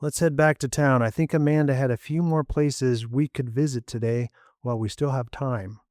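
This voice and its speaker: A man's voice: mid-range voice, fairly even intonation, normal speed, a little mellow, dry recording, studio quality, close to an everyday voice. A man voicing a polite adult traveler who loves history, speaking courteously.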